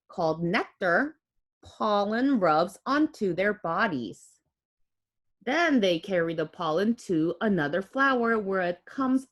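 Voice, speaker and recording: A woman reading a picture book aloud to young children like a teacher, expressively, with simple explanatory asides. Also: medium pitch. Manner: expressive, child-directed, with audible gasps and exclamations.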